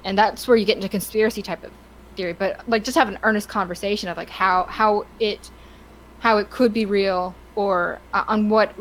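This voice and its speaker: Halting woman podcaster over noisy background. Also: medium pitch, fairly even intonation. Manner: halting, stop-start, searching for words. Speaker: podcast co-host. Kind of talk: casual opinionated discussion.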